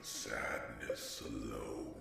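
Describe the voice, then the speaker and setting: A man playing a mighty, sorrow-worn dragon alpha in a fantasy roleplay, speaking solemnly and grandly with long dramatic pauses. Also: completely flat delivery, noisy background, heavily acted. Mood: sorrowful, resolute. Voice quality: very deep, gravelly, breathy at times. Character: mighty, grieving male dragon alpha rallying his kin. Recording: long cavernous reverb, music underneath. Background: music.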